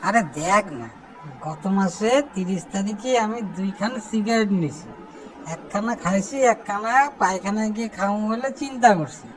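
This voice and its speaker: A woman's voice: mid-range voice, moderate pace, a little hiss or hum, close to an everyday voice, poor audio. A woman playing a cheeky, put-upon young lad in an amateur comic cartoon, indignant and complaining in an eastern Bengali accent. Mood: grumbling.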